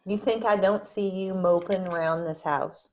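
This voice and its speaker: A woman's voice: lowish voice, fairly even intonation, moderate pace, lightly acted. Woman reading as tough country bar-owner mother. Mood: firm, resigned. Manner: blunt, wry. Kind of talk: screenplay table read.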